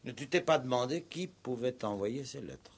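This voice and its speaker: An actor playing a calm, probing police commissioner in a radio play, measured and drily ironic. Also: male voice, mid-range voice, husky. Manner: measured, interrogating, gently ironic. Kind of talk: 1960s French radio play acting.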